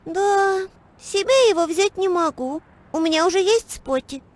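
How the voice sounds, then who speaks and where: A woman voicing a cheerful, caring little girl who takes charge in a children's educational cartoon, eager and expressive. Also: very high-pitched, a little slow. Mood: thoughtful, friendly.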